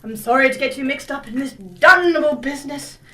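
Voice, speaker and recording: A woman playing a troubled, cursing gentleman heir in a melodramatic gothic comedy. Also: high voice, animated, brisk. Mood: grim, weary. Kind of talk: melodramatic comic gothic acting. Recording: distant, echoey hall.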